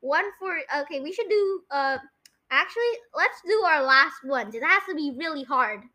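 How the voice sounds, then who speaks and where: Young girly podcast host, really high bright voice, chatty and excitable, repeats stuff a lot. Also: moderate pace. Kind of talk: casual game chat, guessing voice lines.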